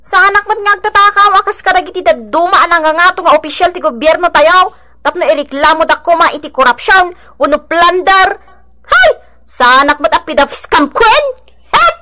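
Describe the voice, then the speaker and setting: A woman's voice: very high voice, lively intonation, normal speed, pushed and bright, exaggerated voice. A woman playing a feisty, defiant village gossip with an Ilocano accent in a radio drama, scoffing and exclaiming theatrically. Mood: indignant, defensive.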